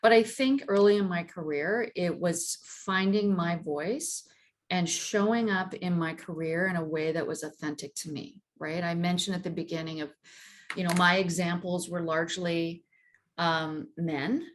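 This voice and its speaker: A woman's voice: on the low side, steady tone, a little slow. A woman speaking as a panelist on a video call, giving emphatic and encouraging career advice. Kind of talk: panel discussion.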